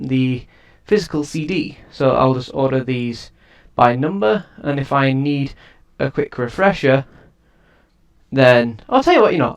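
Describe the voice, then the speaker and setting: Man, casual music review, rambling, frequent restarts, mid-pitched voice. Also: vocal fry. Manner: rambling, restarts sentences often.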